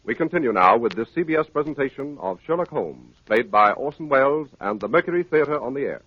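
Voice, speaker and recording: A man's voice: medium pitch, lively intonation, brisk, slightly rough, lo-fi sound. A man announcing formally for a radio network in 1930s broadcast style. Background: music.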